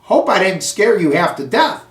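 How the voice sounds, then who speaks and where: A man playing a jovial, booming storybook janitor, echoey. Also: sing-song, moderate pace, fairly bright tone, faint music behind. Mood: chatty, friendly. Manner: booming, chatty, folksy. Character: friendly, jovial male night janitor with a mustache. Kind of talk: children's storybook character voice. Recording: roomy echo, distant microphone.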